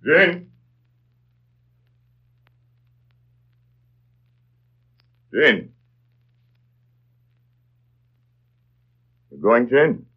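An actor playing an imperious, tormented gentleman in love, with intense theatrical delivery in a 1930s radio drama. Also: medium pitch, big pitch swings, slow, slightly rough, full character voice. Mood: desperate. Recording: muffled vintage 1930s radio recording.

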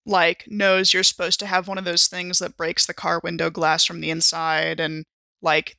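A woman with a bright, forward voice talks through her moves in a tabletop game. She is informal and thinks aloud. The recording is dry and close. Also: fairly low pitch, no echo. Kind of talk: casual game chat.

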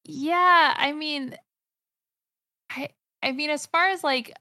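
Podcast guest: American woman, gravelly and bright, hesitant casual talker. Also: high-pitched, takes it slowly, dry recording, clean studio sound. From United States. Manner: hesitant, many fillers and restarts. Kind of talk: casual chat.

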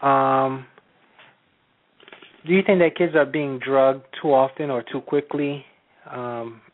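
A man from Haiti asking interview questions in a measured, hesitant way with fillers. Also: mid-range voice, steady tone. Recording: tinny phone-like sound.

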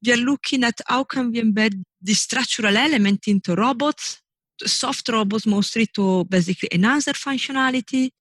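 A woman's voice: fairly high pitch, normal speed, no room sound. Italian-accented woman, dry close mic. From Italy. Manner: loose, searching phrasing with frequent "you know" and restarts. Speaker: engineering professor. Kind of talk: relaxed interview answers.

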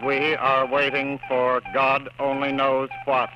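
A man playing an exhausted, despairing army radio operator under siege, reading messages in halting, clipped phrases. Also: medium pitch, monotone, lo-fi sound, close to an everyday voice. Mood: grim, waiting. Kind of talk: slow dramatic reading of coded radio messages. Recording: noisy old radio with code beeps.

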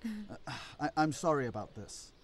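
An actor playing a weary adult son in an audio play, dry, halting and apologetic. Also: male voice, a little high, very animated. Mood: apologetic, exasperated.

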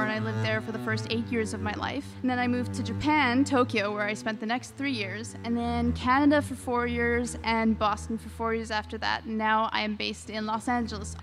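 A young woman talks about her background in a steady, matter-of-fact voice that barely rises or falls. Her voice sits fairly high. Music plays clearly behind her. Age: young adult. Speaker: double bassist. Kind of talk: interview answer.

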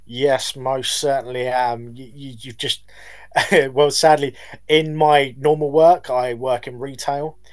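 Polite singer, measured answers. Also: male voice, medium pitch, little pitch movement. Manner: measured, polite, some hesitations. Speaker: band lead singer, guest. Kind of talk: casual interview answers.

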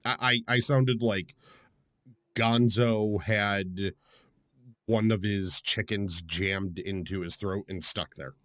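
Low-ish guy on a gaming podcast, just over the flu, stumbly and chatty, dry close mic. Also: fairly even intonation, takes it slowly, fairly bright tone, no room sound. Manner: rambling, repeats words when starting sentences. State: recovering from flu, voice still rough. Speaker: podcast co-host. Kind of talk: casual chat.

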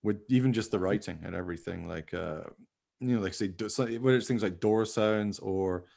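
A man's voice: lowish voice, fairly quick, gravelly, a little mellow. A man in casual, informal conversation, talking in a rambling way as a podcast host. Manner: rambling, informal, often hedging with "you know". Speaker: podcast host, radio play writer. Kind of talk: casual chat.

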